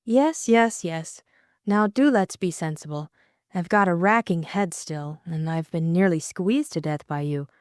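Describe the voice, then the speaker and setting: A woman voicing a witty, teasing young Englishwoman, dry and brisk. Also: lowish voice, a little mellow, no room sound, natural voice.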